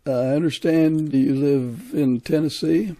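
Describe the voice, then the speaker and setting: A man's voice: a little high, fairly even intonation, measured pace, warm tone, dry recording. A man hosting a podcast and interviewing a guest in a conversational style.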